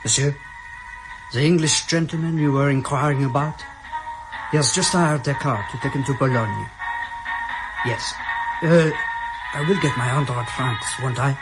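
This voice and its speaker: An actor playing an obliging, tip-hungry informant in a radio drama. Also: male voice, medium pitch, unhurried, pauses often, close to an everyday voice, low-quality recording. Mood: eager, obliging. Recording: loud music under the voice.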